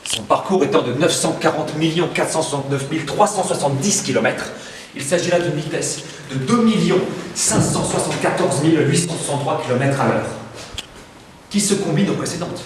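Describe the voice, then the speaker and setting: A man acting a slightly mad man in a theatre monologue, reasoning earnestly and deliberately, like a pedant reciting calculations. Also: a little high, pitched above the performer's own voice, close to an everyday voice. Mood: earnest, calculating. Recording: echoey room, distant mic, faint noise.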